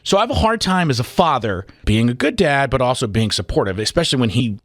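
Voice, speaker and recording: Male morning show host, middle-aged, lively swooping pitch, dry close-mic studio sound, comic storyteller. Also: fast, no room sound. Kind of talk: animated comic storytelling and banter. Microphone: close studio radio microphone.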